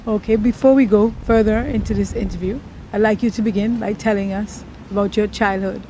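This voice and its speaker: An interviewer whose questions come across as measured and courteous. The voice is mellow and warm in tone, and faint traffic sounds drift in behind it. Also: mid-range voice, steady tone, low-quality recording.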